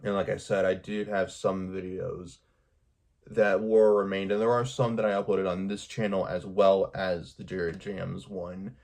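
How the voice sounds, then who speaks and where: A man talking casually to his viewers in a personal vlog, hesitant and halting, often repeating his words. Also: on the low side, vocal fry, darkish tone. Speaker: video creator talking to viewers.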